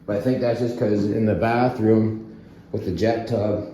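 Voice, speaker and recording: A hoarse man giving a relaxed, rambling walkthrough of his half-renovated basement, chuckling as he chats to his viewers. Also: on the low side, measured pace, echoey room, dull audio, a little hiss or hum. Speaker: vlogger. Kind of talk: casual walkthrough chat. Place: home basement under renovation.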